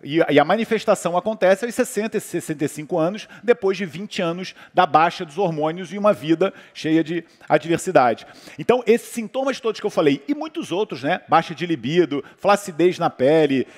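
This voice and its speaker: A man's voice: a little high, lively intonation, fairly quick. A man from Brazil with a Brazilian Portuguese accent, a physician talking casually on a panel, rambling with lots of fillers and restarts. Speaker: physician guest speaker. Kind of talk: conversational talk on a panel. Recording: hall reverb.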